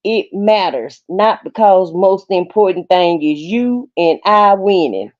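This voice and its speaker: A woman's voice: medium pitch, animated, slow pace, a little mellow, no echo. A woman co-hosting a livestream, casually performing a reading of text messages, on lo-fi audio. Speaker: livestream co-host. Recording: dull, lo-fi close livestream audio.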